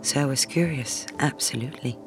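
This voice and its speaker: An actress playing a polite young office secretary in an audio drama, who also narrates in the first person. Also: female voice, a bass voice, brisk, poor audio. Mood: reflective. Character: polite, fond French office secretary, engaged young woman. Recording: music behind the voice.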